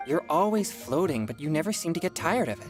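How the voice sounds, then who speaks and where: A woman voicing an earnest, shy young male exorcist in a video game, husky and explanatory. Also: deep voice, big pitch swings, quick pace, over music. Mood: calm. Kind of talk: video game character acting.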